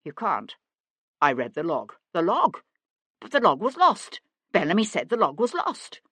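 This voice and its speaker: A woman's voice: deep voice, animated, normal speed, creaky, no echo. A woman voicing a blustering middle-aged merchant man in an audiobook, indignant, guilty and pleading. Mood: desperate pleading.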